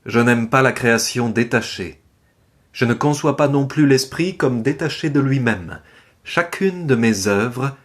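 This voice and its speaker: A man performs a French avant-garde poet reciting his manifesto. The delivery is solemn and uncompromising, very slow and deliberate, with a French accent and a declamatory literary tone. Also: medium pitch, natural voice.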